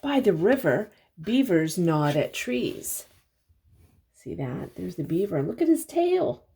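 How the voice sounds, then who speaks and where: An older woman reading a picture book aloud to young children, pausing to explain things and ask simple questions. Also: on the low side, gravelly, slightly rough. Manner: expressive, simple explanations and questions for little listeners.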